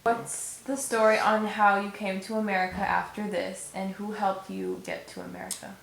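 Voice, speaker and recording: A woman interviewer reading out questions in a plain, measured way. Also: medium pitch, lively intonation, vocal fry, fairly bright tone. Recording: roomy room sound.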